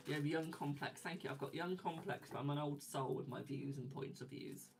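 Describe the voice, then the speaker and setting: A man's voice: on the high side, fairly quick, noisy background. A man in his twenties, a tarot reader, chatting casually on a live stream and reading viewer comments aloud, laughing often. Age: 20s.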